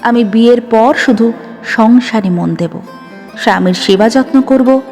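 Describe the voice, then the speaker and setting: A woman voicing a wounded, proud, sharp-tongued young woman in an audio story. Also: on the high side, measured pace. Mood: calm, firm. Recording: long reverb with music behind.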